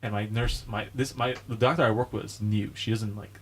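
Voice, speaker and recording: A man's voice: fairly low pitch, fairly even intonation, fairly quick, a little hiss or hum. A young man from Indonesia who works in a hospital, rambling through stories in casual podcast chat, full of "like" and "bro". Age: young adult.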